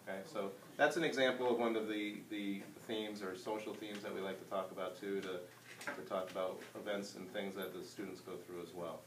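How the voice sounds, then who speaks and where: Low-voiced male teacher in an echoey room. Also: steady tone, brisk. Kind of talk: classroom read-aloud with questions to pupils. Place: classroom. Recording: roomy distant mic with background noise.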